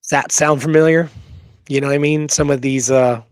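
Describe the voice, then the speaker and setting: A man's voice: on the high side, fairly even intonation, fairly quick, clean tone, dry recording. A man co-hosting a history talk show, reading out quoted passages and adding informal asides of his own. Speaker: podcast co-host.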